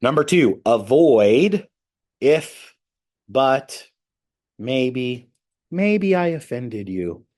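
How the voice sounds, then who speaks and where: A man who is a pastor teaching a ministry class, telling candid personal stories in a lively way. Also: mid-range voice, extremely slow, pauses often. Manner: candid, animated storytelling, voice jumps up when excited.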